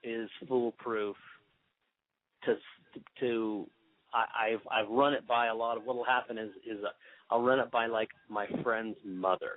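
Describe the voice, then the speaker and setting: A man being interviewed on the radio, telling a story with many restarts and an animated manner. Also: medium pitch, measured pace, no echo. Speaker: guest pianist. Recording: tinny phone line, dry.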